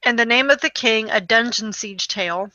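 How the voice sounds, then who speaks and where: A woman's voice: a little high, moderate pace, bright, forceful tone, muffled recording. A woman co-hosting a video game show in casual chat, running through a list with wry, dismissive asides.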